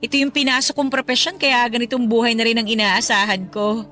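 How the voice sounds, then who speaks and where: A woman's voice: on the high side, steady tone, a little fast, pushed and bright, faint music behind. A woman playing a kind, encouraging schoolteacher who talks in a friendly, reassuring way in a radio drama. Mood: chatty. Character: kind, encouraging female schoolteacher, tactfully curious.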